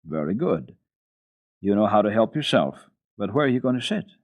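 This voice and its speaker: A gruff yet kindly old mountain grandfather from a radio storybook, as a man acts him out. His voice is husky and swings up and down as he gives approval in a plain-spoken way. The sound is muffled and narrow. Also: on the low side, sing-song, moderate pace. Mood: pleased. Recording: muffled, lo-fi archival radio recording.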